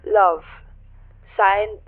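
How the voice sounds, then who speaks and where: A woman's voice: mid-range voice, steady tone, extremely slow, no echo. A woman playing a businesslike telegraph clerk in a radio play, formal and impersonal as she recites. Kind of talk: old radio drama acting. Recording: dry, tinny phone-like sound.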